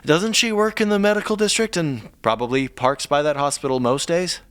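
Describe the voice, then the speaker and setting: An actor playing a nervous, affable sidekick in an audio drama, hesitant and rambling, with a wry edge. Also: male voice, on the high side, fairly quick, fairly bright tone, clear, resonant tone, no echo, close to an everyday voice. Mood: wry, incredulous.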